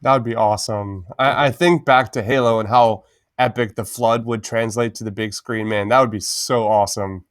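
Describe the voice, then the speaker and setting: A man's voice: fairly low pitch, steady tone, normal speed, no echo, clean studio sound. A man co-hosting a podcast in an enthusiastic, casual chatty style, often tossing in "man". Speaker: podcast co-host.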